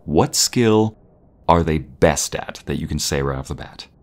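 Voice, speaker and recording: Very deep-voiced man, playful boyfriend roleplay. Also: expressive, unhurried.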